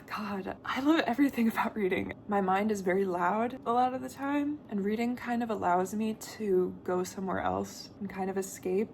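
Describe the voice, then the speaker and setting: A talkative young woman vlogging about books, her voice sitting at an ordinary middle pitch with a gravelly fry at the ends of phrases. She chats casually and endlessly to the camera, with a faint hum of noise behind her. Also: a little mellow. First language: Danish. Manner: chatty, talks on and on, laughs often. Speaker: book vlogger.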